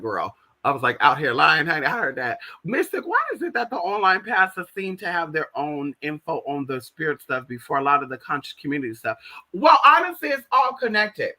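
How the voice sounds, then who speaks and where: Bright, high-voiced American man, sassy and slangy. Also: poor audio. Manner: sassy, slangy, emphatic, addresses listeners as honey and girl. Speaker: livestream host. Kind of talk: casual livestream chat answering viewers.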